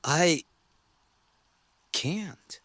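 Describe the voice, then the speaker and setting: A man playing a frightened young mage recruit in a fantasy audio drama, with a husky voice. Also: fairly high pitch, lively intonation, drawn-out words, fairly bright tone, dry recording, pitched above the performer's own voice, exaggerated voice. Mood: pleading.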